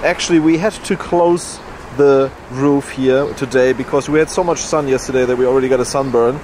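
A man's voice: mid-range voice, fairly even intonation, brisk, a little mellow. Man vlogging from a road trip. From Germany. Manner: enthusiastic, slightly non-native phrasing. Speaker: car vlogger. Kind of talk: casual travel vlog narration. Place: outdoors by cars and in a car on a road trip. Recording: camera audio with car and outdoor noise.

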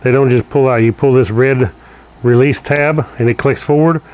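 A man's voice: mid-range voice, steady tone, normal speed, darkish tone. A man giving a casual, practical how-to walkthrough while working with his hands in a garage, heard through a camera mic. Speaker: installer demonstrating a product. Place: garage, indoors.

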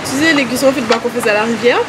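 Expressive high-voiced woman, noisy. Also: rapid-fire. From Guadeloupe. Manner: expressive, emphatic, informal. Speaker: food vlogger, co-host. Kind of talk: casual vlog chat, tasting food.

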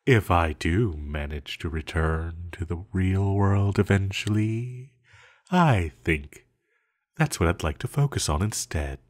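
A man playing an ancient banished villain in an intimate roleplay audio, seductive, possessive and scheming, with teasing, lingering pauses. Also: low voice, lively intonation, full character voice. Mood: wistful, teasing.

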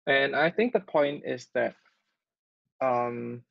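A man hosting a live online workshop over a video call, talking haltingly with many restarts. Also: medium pitch, vocal fry, no echo. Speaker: workshop host. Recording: dull lo-fi call audio.

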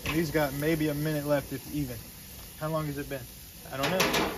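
A man's voice: fairly high pitch, flat delivery, brisk. Young man chatting casually over outdoor noise. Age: 20s. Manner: slangy, jokey, repeats phrases. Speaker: home cook hosting a cooking vlog. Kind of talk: casual chat to camera while cooking. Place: mostly outdoors in a backyard by a grill. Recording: noisy outdoor phone-camera audio.

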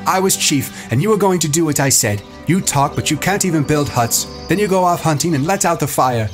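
A man's voice: fairly low pitch, normal speed, music in the background, pitched above the performer's own voice, natural voice. A man voicing an angry, bitter boy chief in a storybook, accusing and intense. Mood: furious.

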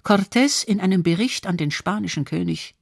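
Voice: mid-range voice, normal speed, vocal fry, breathy edge, no echo, studio quality. A narrator reading a scripted historical documentary.

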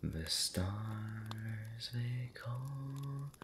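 A man rambling intimately late at night in an ASMR style, breathy and often whispering, hesitant and pensive with long pauses and soft hums. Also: on the low side, slight background noise. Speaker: audio roleplay channel creator.

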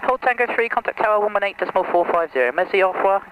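A man delivers clipped radio calls from a small cockpit. His voice is high and extremely fast, with clear noise around the headset sound. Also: expressive, phone-quality sound. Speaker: pilot making radio calls. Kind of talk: clipped air traffic radio readbacks. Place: small light aircraft cockpit. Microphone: aviation headset boom mic. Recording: noisy aviation headset radio.

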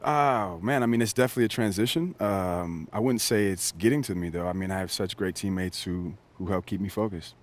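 An actor playing an easygoing young American basketball rookie in a game cutscene, talking in a laid-back, slangy way. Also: male voice, low-pitched, a little fast, hardly any pauses, lightly acted. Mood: relaxed, modest. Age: young adult. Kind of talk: naturalistic game cutscene dialogue.

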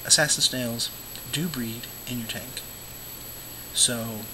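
Hoarse, scratchy-voiced man talking casually. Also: mid-range voice, takes it slowly. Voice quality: scratchy, often dropping to a whisper. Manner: relaxed, rambling, many fillers. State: hoarse, losing his voice from illness. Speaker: aquarium vlogger, show host. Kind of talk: casual talk to camera.

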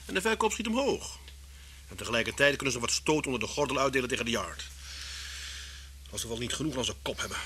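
An actor playing a lively, wry, brisk detective in a vintage radio drama. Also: male voice, medium pitch. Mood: wry, teasing. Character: lively male Scotland Yard detective, wry, brisk husband. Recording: noisy old radio recording with hum.

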